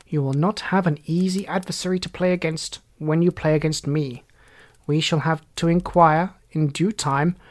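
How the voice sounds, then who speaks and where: A narrator acts out a smooth gentleman villain in an audiobook story. He is formal and emphatic, keeping a composed front over cold indignation. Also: medium pitch, natural voice.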